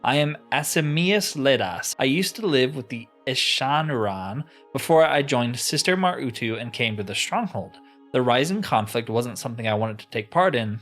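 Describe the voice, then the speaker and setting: A man's voice: medium pitch, fairly bright tone, natural voice. World-weary fantasy tribesman, voiced by a man, grave and cautioning, light music beneath.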